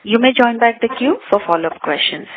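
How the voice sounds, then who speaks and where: A woman's voice: fairly high pitch, animated, brisk. A woman making scripted, formal announcements as a conference call operator. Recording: dull lo-fi call audio.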